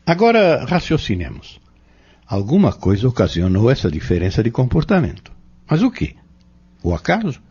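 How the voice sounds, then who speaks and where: A man with a Brazilian accent playing an earnest spiritual teacher in an audio novel, explaining in measured phrases and rhetorical questions. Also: mid-range voice, wildly swooping pitch, darkish tone, slightly rough, heavily acted. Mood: earnest, instructive. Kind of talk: dramatized audio novel dialogue, didactic. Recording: dull lo-fi audio.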